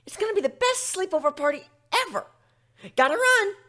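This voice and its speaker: A man voicing cheeky, excitable little pony schoolgirls in a dramatic story reading, eager and exclaiming. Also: very high-pitched, brisk, pitched above the performer's own voice, exaggerated voice. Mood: excited, rushed.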